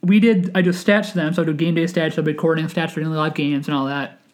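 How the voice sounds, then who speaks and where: A man's voice: on the high side, little pitch movement, fast talker. A man in his twenties hosting a podcast, talking in a rambling, earnest way with many restarts. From Wisconsin, United States. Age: 20s. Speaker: podcast host. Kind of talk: casual chat.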